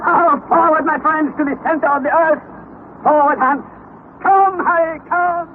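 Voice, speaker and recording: An actor playing a fierce, excitable older uncle and explorer in an old radio drama, declaiming theatrically and giving commands. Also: male voice, very high voice, unhurried, phone-quality sound. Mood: triumphant, urgent. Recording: thin, band-limited vintage radio recording, noisy.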